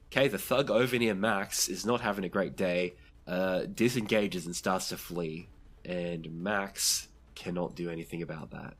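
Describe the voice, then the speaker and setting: A man with a fairly low voice serves as game master for a tabletop roleplaying session. He narrates the scene and gives rulings to the players. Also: normal speed, slightly rough.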